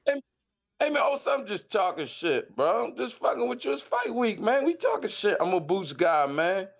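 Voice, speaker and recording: Fifties philly dude calling in, lively pitch, slangy and blunt, muffled call sound. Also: male voice, moderate pace, vocal fry. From South Philadelphia. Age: 50s. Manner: blunt, slangy, repeats himself. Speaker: caller. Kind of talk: call-in chat. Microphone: Discord call.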